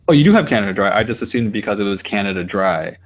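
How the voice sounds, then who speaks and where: A man's voice: lowish voice, expressive, fast. A young man co-hosting a casual podcast, rambling, laughing and swearing as he talks. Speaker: podcast co-host.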